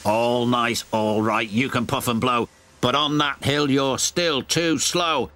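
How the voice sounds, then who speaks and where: A man's voice: medium pitch, steady tone, takes it slowly, bright, forceful tone. A man voicing silly, mischievous goods trucks in a children's story, teasing in a taunting sing-song.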